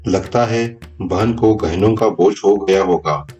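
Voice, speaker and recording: A man's voice: deep voice, measured pace, a little mellow, highs cut off, faint music behind. A man voicing a haughty, overbearing rich brother in a cartoon moral story. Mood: puzzled. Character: haughty rich brother, scornful of his poor sister.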